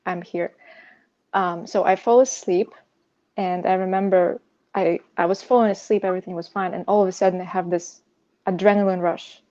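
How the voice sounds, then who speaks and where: Mellow, hesitant woman, native Russian speaker. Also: lowish voice, fairly even intonation, dull audio. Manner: hesitant, halting, frequent "you know". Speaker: podcast guest sharing personal insomnia story; pianist. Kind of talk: personal storytelling in conversation.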